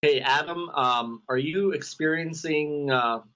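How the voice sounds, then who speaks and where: A man's voice: on the high side, a little slow, fairly bright tone, muffled recording. A man giving short, reassuring instructions to a teacher before a video class. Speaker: classroom technician. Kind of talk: casual video call chat.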